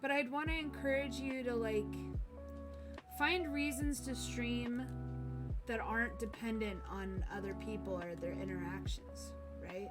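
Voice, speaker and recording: A woman hosting a live stream, chatting casually in a relaxed, rambling way. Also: on the high side, a little slow, music in the background. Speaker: streamer host.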